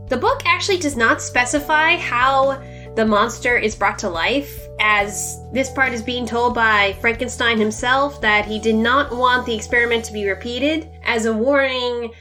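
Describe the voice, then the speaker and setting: High-voiced woman reviewing over music. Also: bright, forceful tone. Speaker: book reviewer, host of a review series. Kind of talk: semi-scripted book review narration, conversational. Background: music under the voice.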